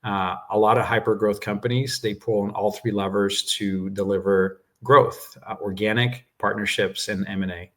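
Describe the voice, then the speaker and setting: Man, low voice, native Portuguese speaker, measured explanations, business interview guest. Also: steady tone, a bit bright. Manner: measured explanations with frequent "uh" and "right?". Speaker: guest executive.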